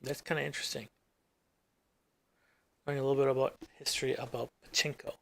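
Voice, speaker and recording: A man's voice: medium pitch, little pitch movement, a little fast, gravelly, dry recording. A man hosting his own channel, thinking aloud as he works on his screen, rambling and trailing off into mumbles. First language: English. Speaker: gaming channel host. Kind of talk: casual screen-recording commentary.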